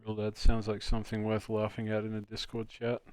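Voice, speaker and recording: A man's voice: on the low side, completely flat delivery, fairly quick, a little mellow, clean tone, no room sound. A man hosting a live stream, chatting casually and joking with friends. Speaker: host of a live dubbing stream.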